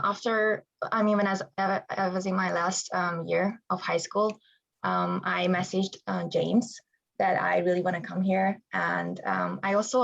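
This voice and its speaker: Young Hungarian female athlete, gravelly, muffled recording. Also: lowish voice. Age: young adult. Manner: hesitant, many fillers, laughs easily. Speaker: student-athlete guest. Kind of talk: interview.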